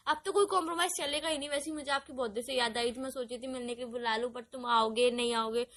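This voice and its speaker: A woman acts out a young girlfriend on a phone call. Her voice is high and sharp and comes in quick bursts. She is bossy and wheedling, nagging and teasing until she gets an answer. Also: fairly even intonation, natural voice. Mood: eager, wheedling. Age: young adult. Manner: insistent, teasing, nagging, repeats herself.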